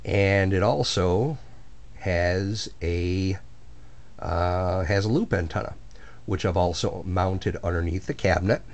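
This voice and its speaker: A man with a fairly low voice talks through a hobby project in a casual, plainspoken way. He explains things step by step, like someone showing off home-built work. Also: takes it slowly. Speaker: hobbyist restorer of antique radios. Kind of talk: casual demonstration narration.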